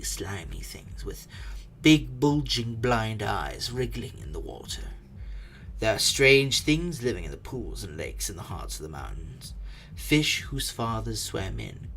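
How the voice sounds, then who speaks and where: A storyteller giving a dramatic, suspenseful reading of a book, with phrases often falling away into a hush. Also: mid-range voice. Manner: expressive, suspenseful, hushed phrase endings. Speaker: narrator. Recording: faint background noise.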